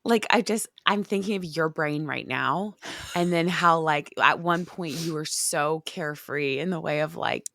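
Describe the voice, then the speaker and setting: A woman co-hosting a podcast with a hoarse, cracking voice from losing it, chatting and teasing her sister. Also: low voice, moderate pace, fairly bright tone, dry recording, clean studio sound. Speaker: podcast co-host. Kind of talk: casual chat between sisters.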